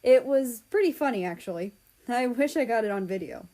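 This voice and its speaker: A woman's voice: on the high side, lively intonation, fairly quick, warm tone, close to an everyday voice. A woman voicing an easygoing, reassuring man, a supportive friend, in a story reading. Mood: amused.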